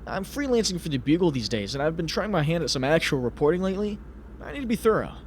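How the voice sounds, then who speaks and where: A man acting as a wry young superhero in a comic dub, self-deprecating and heartfelt. The voice is slightly high and swings freely in pitch, over faint background noise. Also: sing-song, fast. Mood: curious.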